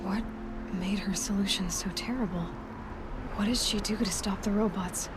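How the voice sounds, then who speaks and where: A woman's voice: medium pitch, fairly even intonation, moderate pace, lightly acted. Woman playing a determined young adventurer over music. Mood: wondering. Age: young adult. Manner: earnest, sardonic, stubborn. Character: determined, questioning young female adventurer, defiant. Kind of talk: dramatic video game acting.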